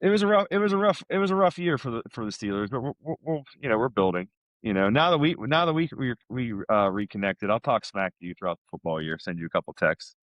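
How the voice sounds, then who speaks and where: A man's voice: mid-range voice, lively intonation, fast, fairly bright tone, no room sound. A middle-aged American man in casual podcast chat, talking in an informal, buddy-like way. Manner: informal, buddy-like, peppers talk with "man" and "dude".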